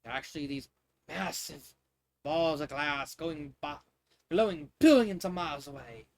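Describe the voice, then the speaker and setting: A man reading amateur fan fiction as an eager, wonder-struck young storyteller from an underground kingdom, in an earnest, halting way. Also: fairly high pitch, darkish tone. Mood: excited.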